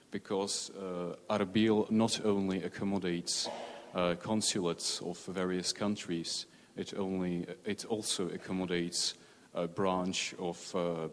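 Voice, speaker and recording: A man, a journalist asking a careful, halting question from the audience in a conference hall. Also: deep voice. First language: Czech. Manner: careful, halting, many restarts. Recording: long reverb of a conference hall.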